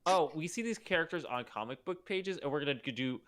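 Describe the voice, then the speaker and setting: A man's voice: fairly high pitch, lively intonation, fairly quick, fairly bright tone. A man hosting a casual group discussion, talking in an exasperated way and acting out mock quotes. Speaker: podcast host leading a table read.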